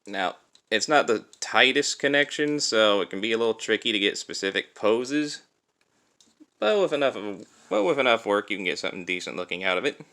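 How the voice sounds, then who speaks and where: A man's voice: on the low side, lively intonation, brisk, bright, forceful tone. A man talking informally through a hands-on toy review, explaining things step by step. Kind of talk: casual hands-on product review.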